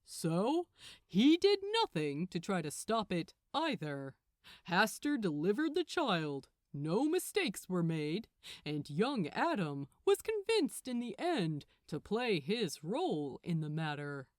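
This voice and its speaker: A woman's voice: on the low side, wildly swooping pitch, slow, a little mellow, no echo, clean studio sound, full character voice. A woman voicing a smug, gloating male archangel with a condescending, theatrical manner in a fan fiction audiobook. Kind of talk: acted audiobook dialogue.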